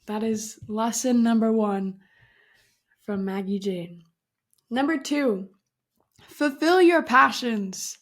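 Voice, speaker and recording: A woman in her early twenties hosts her own podcast in an unhurried, drawn-out way. She giggles often and stresses her points with emphatic, casual pep, now and then with a mild swear word. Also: on the high side. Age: 20s. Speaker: podcast host. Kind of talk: casual solo podcast talk.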